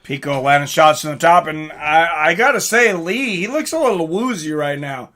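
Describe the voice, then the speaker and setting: Male live fight commentator, high bright voice, informal and excitable, chatty streamer delivery. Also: moderate pace. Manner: excitable, informal, swearing, reacting aloud. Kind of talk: live fight commentary mixed with casual chat to viewers.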